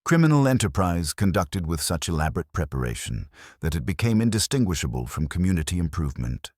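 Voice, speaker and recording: Very deep, composed male police inspector, read by a man. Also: big pitch swings, darkish tone, no room sound. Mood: wry, satisfied. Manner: dry, formal, deliberate. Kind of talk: audiobook mystery character dialogue.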